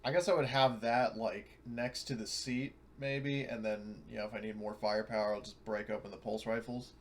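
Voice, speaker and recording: A man's voice: lowish voice, flat delivery, normal speed. A man chatting casually as a player in a tabletop roleplaying game, talking in a loose, rambling way. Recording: noisy room ambience on recording.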